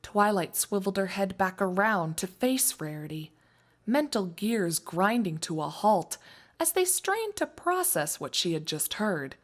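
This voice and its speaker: A woman reading scripted story narration in an expressive, wry storytelling manner. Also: medium pitch, a little slow. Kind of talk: scripted fan fiction narration.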